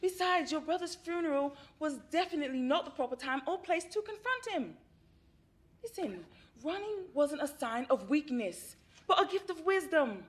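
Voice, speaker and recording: An actress playing a calm, encouraging female therapist who speaks in a measured, reassuring way in a stage play. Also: sits high.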